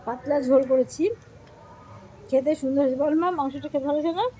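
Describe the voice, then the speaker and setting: A middle-aged woman talks to her viewers in a friendly, easygoing way while she eats. Her voice sits high. Clear background noise runs under the home recording. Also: flat delivery, fast talker, mellow. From Bengal. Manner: chatty, addresses viewers as friends. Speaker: vlogger. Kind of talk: casual eating vlog chat.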